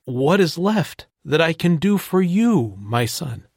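A man voicing an aged, trembling patriarch father in a dramatized scripture reading, with a slow, solemn, quavering delivery. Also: a little high, big pitch swings, darkish tone, no room sound, studio quality, pitched above the performer's own voice, exaggerated voice. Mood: grave.

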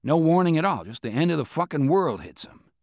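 A narrator plays a blunt veteran officer with a brash, cursing delivery. The man rattles out his plan quickly and hits hard on the punchlines. The sound is close and dry. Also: fairly high pitch, very animated, a little mellow, no room sound, pitched above the performer's own voice. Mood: forceful. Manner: brash, profane, fast.

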